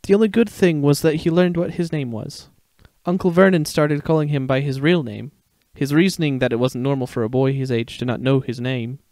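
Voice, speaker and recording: A man's voice: mid-range voice, fairly even intonation, brisk, darkish tone, dry recording, studio quality, natural voice. A man voicing a playful, protective young father in a dramatic story reading. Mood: reading aloud. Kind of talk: dramatic fan fiction reading.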